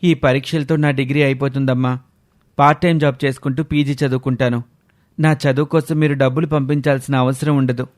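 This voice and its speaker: A man's voice: medium pitch, level tone, fairly quick, natural voice. A man playing a dutiful, questioning young student son, earnest and respectful, in an audio story. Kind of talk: scripted audio story dialogue.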